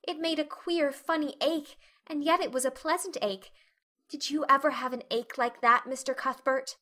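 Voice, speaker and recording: Storybook orphan girl done by a woman narrator: dreamy, imaginative, gushing, high-pitched and bright. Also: steady tone, normal speed. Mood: rapturous. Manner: rapturous, effusive. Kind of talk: dramatic audiobook reading.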